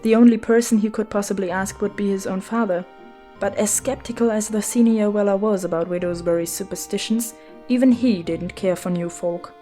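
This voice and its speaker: A woman's voice: medium pitch, dark tone. A woman reading a children's story as its narrator, in a scripted storybook style. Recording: music behind the voice.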